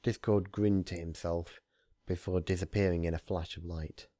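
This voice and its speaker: A man with a fairly low voice, recorded dry with little room around it. He hosts story readings, moving between casual talk and narration with deliberate, theatrical phrasing. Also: steady tone, warm tone, no room sound. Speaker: fan fiction reader, channel host.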